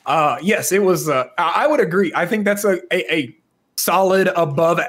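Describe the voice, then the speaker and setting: A man's voice: high voice, lively intonation, moderate pace, a bit bright. A man from the southern US with an American accent, co-hosting a podcast in a casual, joking, opinionated way. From southern United States. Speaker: podcast co-host. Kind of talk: casual chat.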